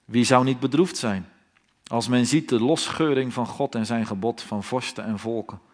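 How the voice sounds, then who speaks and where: A man's voice: mid-range voice, moderate pace. A man delivers a stern sermon. His mid-range voice carries a solemn, pleading weight as he presses his listeners with question after question. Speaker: preacher. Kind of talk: fiery sermon.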